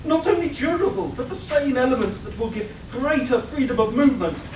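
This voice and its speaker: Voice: high-pitched, animated, brisk. A performer voicing a haughty, theatrical robot tailor with French fashion airs in a comic radio play, pompous and affected. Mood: snooty, appraising. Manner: pompous, dramatic, sprinkled with French phrases. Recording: roomy distant live recording with background noise.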